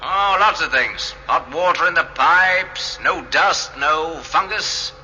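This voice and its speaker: A man's voice: fairly high pitch, slow pace, bright, forceful tone, a little hiss or hum, heavily acted, rough recording. An actor playing an observant, matter-of-fact starship crewman reasoning things out in a radio drama. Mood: reasoning, insistent.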